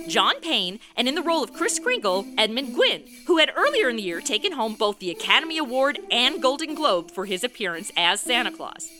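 A woman hosting a podcast with scripted narration and announcements. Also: a little high. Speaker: podcast host.

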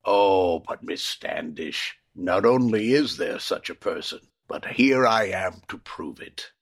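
An actor playing a kindly, sincere old Santa Claus in a radio play, gentle and courteous, with a husky voice. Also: male voice, low voice, expressive, slow, full character voice. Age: older. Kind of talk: comedic radio play acting.

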